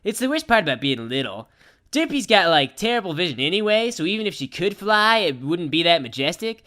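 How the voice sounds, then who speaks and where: A woman plays a brash young girl pony reading out a cartoon storybook. The voice is bright and forceful, swinging widely in pitch. The telling is childishly simple, with irreverent, slangy asides. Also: sing-song, brisk. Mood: animated, irreverent. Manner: childishly simple phrasing mixed with casual, sardonic slang.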